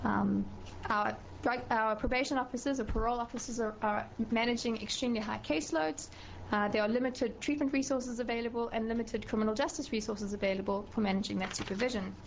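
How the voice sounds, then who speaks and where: A woman's voice: fairly high pitch, steady tone, gravelly, a little hiss or hum, poor audio. South African woman giving formal testimony. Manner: measured, with frequent um fillers and restarts. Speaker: professor testifying to a committee.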